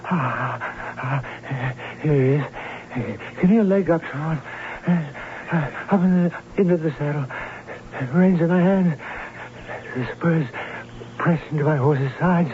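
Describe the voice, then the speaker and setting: A man's voice: fairly high pitch, slow pace, long pauses. An actor playing an exuberant, romantic young German nobleman and bridegroom-to-be, florid and theatrical in an old-time radio drama. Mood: delirious. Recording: muffled, very noisy old radio broadcast.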